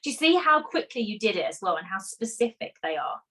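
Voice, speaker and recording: A woman coaching musical theatre performers in a live online masterclass, energetic and encouraging, chatty with rhetorical questions. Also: medium pitch, a little fast. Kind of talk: live online masterclass, reading chat comments.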